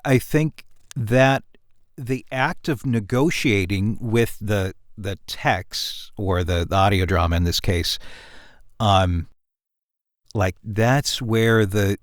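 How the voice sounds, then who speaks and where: A man with a lowish voice talks at a very unhurried pace in a casual discussion. He is reflective and halting, choosing his words with care. The recording is close and dry. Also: dry recording. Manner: halting, thoughtful, mulls over wording. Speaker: audio drama writer on a cast discussion.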